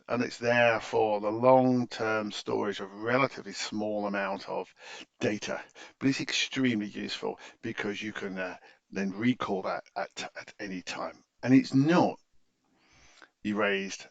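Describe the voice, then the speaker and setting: A man's voice: medium pitch, vocal fry, a bit bright, dry recording. A man narrating an informal technical tutorial in a hesitant, stop-start way, often correcting himself, in a slightly muffled close-miked recording. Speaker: tutorial presenter.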